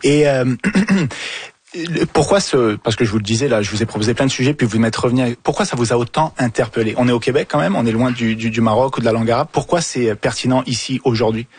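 A man hosting a casual round-table discussion, informally prompting his guests with questions, with a husky voice. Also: medium pitch, quick pace. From Africa. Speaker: host of a radio round-table. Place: dry studio round table.

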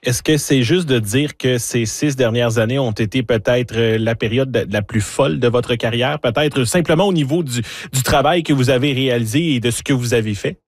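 A man's voice: mid-range voice, moderate pace, few pauses, no room sound, studio quality. A man with a Canadian French accent working as a news interviewer, asking conversational questions with some hesitant rephrasing.

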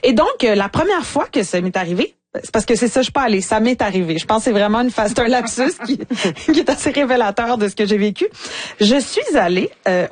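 Lively Québécois woman telling a comic tale. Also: a little high, no echo. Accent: Québécois French. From Quebec, Canada. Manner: lively, self-mocking, acts out quoted voices. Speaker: guest, dancer and performer. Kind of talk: comic storytelling in conversation.

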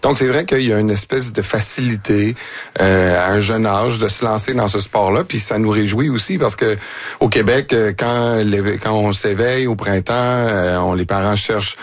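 A man with a Quebec French accent chats as a panel guest. His voice is on the low side and the sound is dry, with casual, everyday phrasing. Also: normal speed, dry recording.

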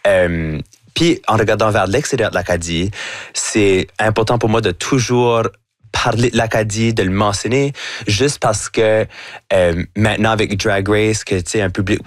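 A man's voice: on the low side, fairly even intonation, moderate pace, dry recording, clean studio sound. A young man with an Acadian French accent, answering interview questions casually and slipping English words and fillers into his French. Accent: Acadian French, chiac. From Acadia, Canada. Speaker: drag performer, guest.

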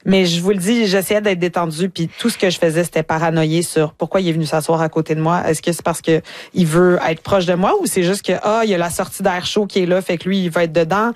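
A woman's voice: lowish voice, fairly even intonation, quick pace, darkish tone, no echo. A Canadian woman with a Quebec French accent, a touring performer telling a comic story and acting out the voices of people she quotes. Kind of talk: comic anecdote in conversation.

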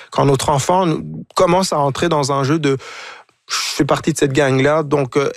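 Gravelly, halting man speaking reflectively. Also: a little high, no echo, clean studio sound. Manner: halting, often repeats words while searching. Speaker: guest. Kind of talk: reflective conversation.

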